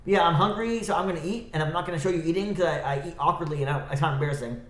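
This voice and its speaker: A man rambling alone on a livestream, halting and restarting, with a stuffy nose and a slightly scratchy throat. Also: fairly high pitch, a bit bright, echoey room. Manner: rambling, halting, many restarts and self-corrections. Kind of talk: rambling solo livestream chat.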